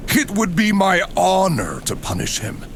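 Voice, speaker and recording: A man's voice: high-pitched, huge pitch swings, unhurried, slight background noise, voice pushed up, exaggerated voice. Man voicing a growling, hulking northern warrior. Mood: growling, eager. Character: huge, brutal, devout male northern warrior, eager to punish. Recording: echoing hall reverb, loud music behind.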